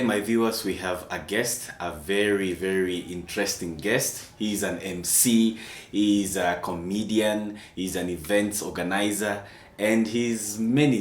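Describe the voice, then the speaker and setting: A man hosting a casual interview show, soft-spoken and full of murmured acknowledgements. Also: lowish voice. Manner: soft-spoken, frequent hums and "mm-hmm" backchannels. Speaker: talk show host. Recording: echoey room with faint background noise.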